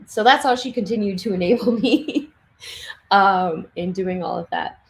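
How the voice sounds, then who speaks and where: A young woman chatting casually on a livestream from her home craft room, laughing often and restarting sentences, her voice getting hoarse. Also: mid-range voice, moderate pace. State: losing her voice. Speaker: livestream speaker.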